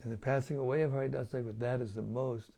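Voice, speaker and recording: A hoarse man hosts a daily scripture reading over an online stream, relaxed and chatty. His voice is dark and gravelly with fry, and it is plainly wearing out. He speaks at a middling pitch. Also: fast. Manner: relaxed, chatty, with light chuckles. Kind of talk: casual talk and Q&A on scripture.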